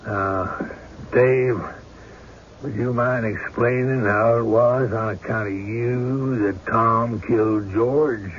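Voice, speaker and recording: An actor playing a weary, folksy small-town sheriff in an old-time radio drama, plain-spoken and reluctant. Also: male voice, mid-range voice, fairly even intonation, takes it slowly, lightly acted. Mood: skeptical, deliberate. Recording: dull, lightly noisy radio recording.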